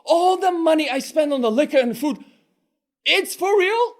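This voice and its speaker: A man playing a sheepish, hard-drinking fishmonger in a one-performer comic tale, talking in an excitable, pleading way. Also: very high-pitched, moderate pace. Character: sheepish drunken fishmonger husband.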